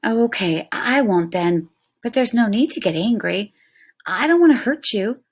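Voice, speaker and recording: A woman voicing an eager young boy who talks quickly, pleads and stumbles over his words. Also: a little high, expressive, husky. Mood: eager pleading.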